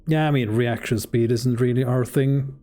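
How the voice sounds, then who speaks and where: A man's voice: medium pitch, normal speed. A man whose first language is Swedish, joking and reacting casually while streaming a game. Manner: relaxed and joking, with frequent fillers. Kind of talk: casual live gameplay commentary.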